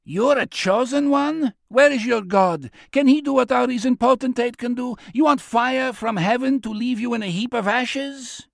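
A man's voice: very high voice, moderate pace, creaky, hardly any pauses, no echo, clean studio sound, pitched above the performer's own voice. A man acting a scornful heckler who taunts in a raised voice. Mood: taunting. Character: mocking male heckler in a crowd, jeering, scornful.

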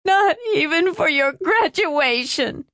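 Woman acting a tearful, high-voiced despairing mother. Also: expressive, slow, fairly bright tone, no room sound, clean studio sound, heavily acted. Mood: sobbing despair. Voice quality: moaning, tearful. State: crying. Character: despairing, weeping mother, worn down and defeated.

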